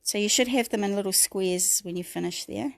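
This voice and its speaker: Croaky New Zealand woman teaching crafts. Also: medium pitch, fairly quick, dry recording, studio quality. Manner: chatty step-by-step instructions, greets viewers by name. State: croaky, clearing her throat. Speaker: craft tutorial host. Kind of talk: livestream crafting tutorial.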